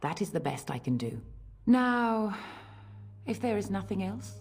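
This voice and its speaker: A woman playing a stern, guarded head priest in a fantasy video game, formal and measured, with a husky voice. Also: mid-range voice, very animated, a little mellow, heavily acted. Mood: troubled, guarded. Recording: faint music behind the voice.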